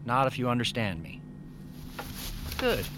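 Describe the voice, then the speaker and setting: An actor playing a menacing, falsely polite kidnapper in an audio drama, courteous and coolly amused. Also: male voice, mid-range voice, sing-song, measured pace, fairly bright tone. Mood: calm menace. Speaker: villain. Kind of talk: scripted audio drama acting. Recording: music bed under the voice. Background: music.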